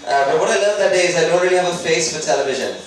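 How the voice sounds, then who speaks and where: A man's voice: fairly high pitch, lively intonation, racing. A man from Sri Lanka doing a conversational, self-deprecating stand-up comedy routine. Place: indoor live comedy event. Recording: very echoey room, distant mic, background noise.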